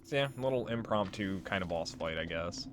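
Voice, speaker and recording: A man's voice: deep voice, lively intonation, brisk. A man giving casual let's play commentary on a video game, wry and freely swearing. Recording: voice over game music. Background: game music.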